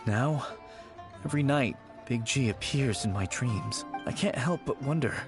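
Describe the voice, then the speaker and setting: An actor playing an anxious, mournful young man in a video game, speaking in a halting, brooding way. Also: medium pitch, animated. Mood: mournful, uneasy. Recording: music behind the voice. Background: game music.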